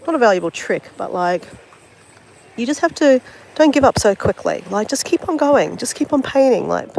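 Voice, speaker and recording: A woman's voice: on the high side, sing-song, normal speed, vocal fry, darkish tone, low-quality recording. An Australian woman with a bit of a cold, chatting casually and mocking herself to viewers as she paints outdoors by the sea. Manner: chatty, self-mocking, talks straight to viewers. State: sniffly with a cold. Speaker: travel vlogger. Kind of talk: casual livestream chat while painting.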